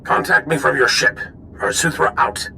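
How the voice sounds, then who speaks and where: An old military commander in a sci-fi game, resolute and brisk. His authoritative voice is raised in pitch and comes with some background noise. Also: vocal fry, bright, forceful tone, full character voice. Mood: proud, brisk. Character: resolute old Republic general.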